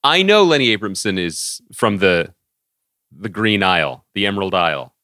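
American man, podcast co-host, very animated and bright, wordy deadpan-style banter with mock gravity. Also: lowish voice, moderate pace, no echo, studio quality. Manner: wry, mock-serious, wordy. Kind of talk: casual banter.

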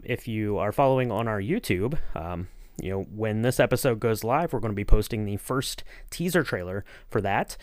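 A man's voice: lowish voice, no echo, studio quality. A man hosting a podcast interview in a casual, rambling, informal manner. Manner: rambling, informal, often saying you know.

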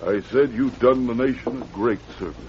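A man's voice: mid-range voice, fairly quick, darkish tone, natural voice. An actor playing an earnest, slightly pompous congressman with formal, oratorical speech in an old radio western. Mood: grateful, stirred. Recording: muffled, noisy vintage radio recording.

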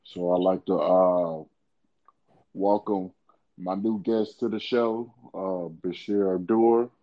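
Deep-voiced podcast host, dull sound. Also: male voice, steady tone. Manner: halting, many "uh" fillers and restarts, calls the guest bro. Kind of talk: casual interview questions.

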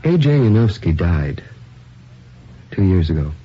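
Wry office underling, very animated. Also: male voice, low-pitched, unhurried. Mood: flustered. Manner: wry, sarcastic, hesitant on the phone. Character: sardonic, put-upon male junior editorial employee. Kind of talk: radio drama acting. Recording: muffled lo-fi, faint background noise.

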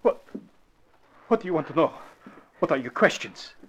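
Cowardly secretary in an old radio play: high voice, swooping, stammering pleas. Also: male voice, sing-song, moderate pace, breathy edge. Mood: panicked pleading. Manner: stammering, pleading, anxious. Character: frightened male consulate secretary, pleading and cowardly. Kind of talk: 1940s radio acting. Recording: vintage 1940s radio recording.

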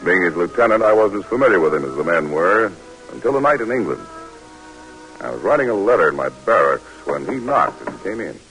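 An actor playing a calm, steady army lieutenant who also narrates, speaking briskly and plainly in 1940s radio drama. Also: male voice, sits low, soft background music, heavily acted, poor audio.

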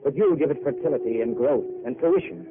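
An actor playing a weary, eloquent intellectual who makes theatrical speeches, in old radio drama. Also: male voice, medium pitch, lively intonation, brisk, lightly acted. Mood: wistful. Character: weary poetic intellectual man, wistful and eloquent. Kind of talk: 1930s radio drama acting. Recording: tinny old broadcast with hiss.

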